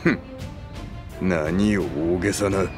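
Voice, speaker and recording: An actor playing a gruff middle-aged general in a video game, distrustful and firm, with rough, blunt speech. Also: male voice, sits low, slow pace, music in the background. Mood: stern, insistent. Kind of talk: Japanese video game cutscene acting.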